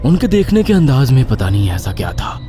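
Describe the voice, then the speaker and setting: Animated male horror story narrator. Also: medium pitch, brisk, faint music behind.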